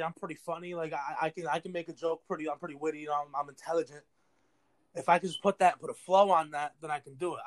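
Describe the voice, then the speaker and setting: An eighteen-year-old American man from New York State talking casually as an interview guest, earnest and rambling, with plenty of slang. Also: a little high. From New York State, United States. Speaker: interview guest, battle rapper.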